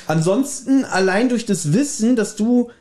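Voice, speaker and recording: Higher-voiced man, casual podcast host. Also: animated, unhurried, a little mellow.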